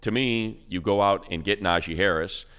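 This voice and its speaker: Deep-voiced man talking football. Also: normal speed, a bit bright, smooth. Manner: conversational, rambling analysis with fillers. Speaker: radio play-by-play announcer. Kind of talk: casual sports talk.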